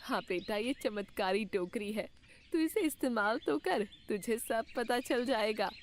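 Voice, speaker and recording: A woman's voice: a little high, animated, unhurried, a little hiss or hum. A woman voicing a kindly magical old woman in a cartoon fairytale, speaking in a soothing, motherly way. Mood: benevolent, assuring. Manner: soothing, motherly, addresses listener as son. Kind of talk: Hindi cartoon fairytale acting.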